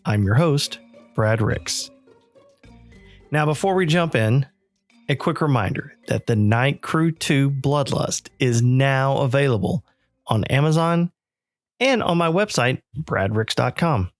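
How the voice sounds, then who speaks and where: A man's voice: medium pitch, lively intonation, takes it slowly, no echo, faint music behind. A man hosting a podcast, delivering an introduction and promotional notes to listeners. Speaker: podcast host.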